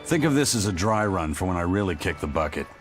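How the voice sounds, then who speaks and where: Tough first mate, fantasy game: deep bass register, terse, unruffled. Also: male voice, sing-song, fast, music in the background. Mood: dry, calm. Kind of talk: English-dubbed fantasy game acting.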